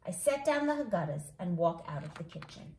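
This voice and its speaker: A woman reading a children's story aloud in an expressive storytelling style, acting out the dialogue. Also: lowish voice, fairly quick. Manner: animated, acts out dialogue. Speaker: read-along host, storyteller. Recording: echoey room.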